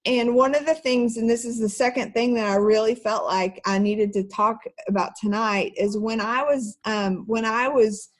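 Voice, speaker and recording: A woman's voice: a little high, fairly even intonation, normal speed, hardly any pauses. A woman, teaching an online church class through earnest personal stories. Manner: earnest, personal storytelling with soft laughs.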